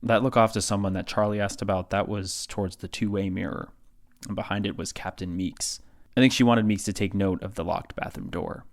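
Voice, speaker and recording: A man playing a haunted investigative podcast host, narrating conversationally and confidingly with pauses for effect. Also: fairly low pitch, fairly even intonation, no room sound, clean studio sound, close to an everyday voice. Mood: measured, confiding. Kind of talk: conversational scripted true-crime narration.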